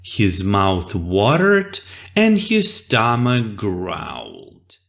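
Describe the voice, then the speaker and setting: A storyteller narrating a children's fable in an expressive, deliberate and dramatic way. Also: low voice, creaky.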